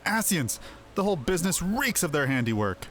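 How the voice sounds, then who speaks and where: A man's voice: fairly high pitch, very animated, a little fast, fairly bright tone. A man voicing a scholarly, irritable male mage from a fantasy game, wordy and pedantic. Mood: indignant. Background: faint music.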